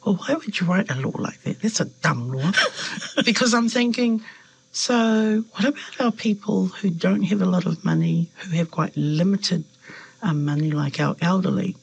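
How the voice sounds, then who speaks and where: Gravelly, husky New woman. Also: lowish voice, normal speed, rough recording. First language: Samoan. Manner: thoughtful, hesitant with fillers, animated when quoting others. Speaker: member of parliament. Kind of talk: reflective interview answers.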